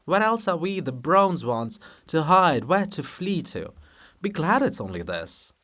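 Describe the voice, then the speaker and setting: A man voicing a dignified, respectful male monument of a scholar in a formal, measured manner for a play reading. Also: a little high, very animated, bright, forceful tone, pitched above the performer's own voice, exaggerated voice. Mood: explaining.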